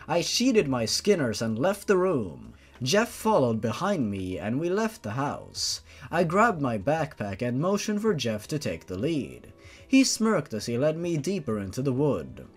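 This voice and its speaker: A man's voice: medium pitch, husky. A man doing a dramatic, sarcastic reading of a story as a host, with exasperated asides. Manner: sarcastic, theatrical. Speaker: host reading fan fiction aloud over gameplay.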